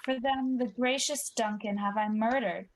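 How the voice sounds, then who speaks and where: A woman's voice: a little high, fairly even intonation, moderate pace, slight background noise, natural voice. A young woman acting an ambitious, guilty usurper king in a Shakespeare radio play. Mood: brooding. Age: young adult. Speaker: student actor.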